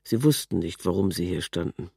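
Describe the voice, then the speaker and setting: A man's voice: low voice, lively intonation, brisk, creaky, darkish tone, breathy edge, no echo, studio quality. A man narrating a scripted literary prose text.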